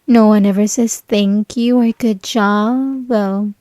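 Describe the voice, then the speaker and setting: Woman acting a slow, taunting young female bully. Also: mid-range voice, steady tone, mellow, dry recording, clean studio sound, lightly acted. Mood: condescending, coaxing. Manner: taunting, condescending, teasing, with breathy whispered asides. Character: mocking, possessive young female bully, sweetly menacing. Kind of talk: ASMR roleplay, intimate scripted monologue to listener.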